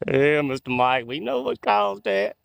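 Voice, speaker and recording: A man's voice: medium pitch, gravelly, no room sound. A man, chatting casually with viewers while livestreaming on a walk through the woods at night, talking into a phone on a handheld gimbal. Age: 40s. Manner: chatty, folksy, reads out viewer comments. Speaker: outdoors livestreamer. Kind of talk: casual live-stream chat with viewers.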